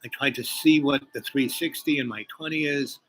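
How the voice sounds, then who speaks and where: A man's voice: medium pitch, fairly even intonation, normal speed, poor audio. A man hosting a casual spiritual chat, rambling with many fillers and restarts. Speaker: podcast host.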